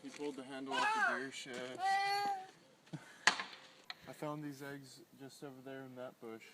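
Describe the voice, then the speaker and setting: A man's voice: mid-range voice, flat delivery, normal speed, heavy background noise. A man talking casually outdoors in a matter-of-fact way.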